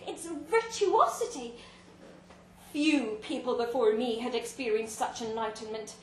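A woman reading a literary story aloud to a live event, theatrical and deliberate, with dramatic pauses. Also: high voice. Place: indoor live reading in a pub venue. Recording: roomy live venue, faint background noise.

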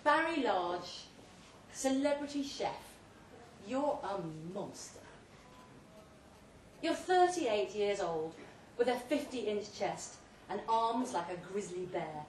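A woman giving an emphatic, deliberately phrased dramatic reading of a second-person short story at a live event. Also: mid-range voice, lively intonation. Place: indoor pub event, before an audience. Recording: roomy live pub recording, distant mic, faint noise.

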